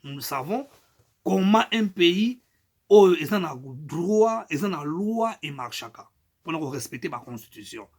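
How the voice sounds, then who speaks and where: A middle-aged Congolese man with a high, husky voice delivers an impassioned political appeal. He speaks French with a Congolese accent and presses his points hard, swinging between loud bursts and quieter stretches. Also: lively intonation, a little slow. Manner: emphatic, rising and falling loudness, mixes in Lingala phrases. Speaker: political activist.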